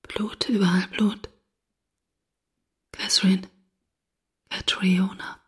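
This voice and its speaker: An actress playing a distraught, wary and fragile woman in a radio drama, with a breathy, husky voice. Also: mid-range voice, little pitch movement, slow pace, in a whisper. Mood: desperate, haunted.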